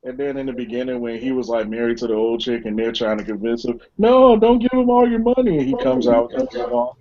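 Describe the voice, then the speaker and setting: A man's voice: mid-range voice, animated, brisk, highs cut off. A man bantering casually as a panelist, joking and interjecting.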